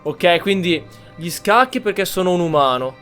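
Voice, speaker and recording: A man's voice: sits high, animated, fairly quick, a bit bright. An Italian man giving casual playthrough commentary as a gaming streamer. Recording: game music behind the commentary.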